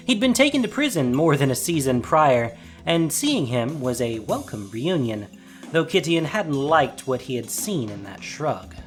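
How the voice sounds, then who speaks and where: Animated male story narrator. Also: on the high side, unhurried, faint music behind. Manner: dramatic, storytelling.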